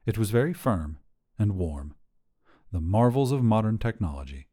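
A man reading short fiction aloud as a narrator, in an expressive scripted storytelling style. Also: lowish voice, moderate pace.

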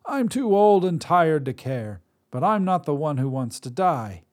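A man's voice: a little high, big pitch swings, unhurried, pitched above the performer's own voice. A man voicing an old, weary witch woman who speaks in a wry, mocking, lilting way.

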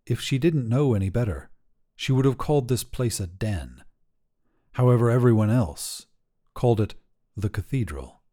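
A man narrating a scripted short story in a measured, dramatic storytelling manner. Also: mid-range voice, expressive, mellow. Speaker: fiction podcast narrator.

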